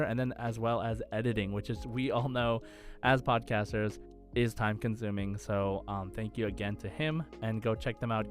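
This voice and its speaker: A man hosting a podcast in a casual, chatty style with an upbeat, enthusiastic manner. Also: fairly low pitch. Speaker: podcast host.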